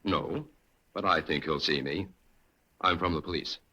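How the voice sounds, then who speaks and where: An actor playing a calm, polite detective who asks probing questions in an old radio drama. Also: male voice, low-pitched, unhurried, exaggerated voice. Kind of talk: 1940s radio acting.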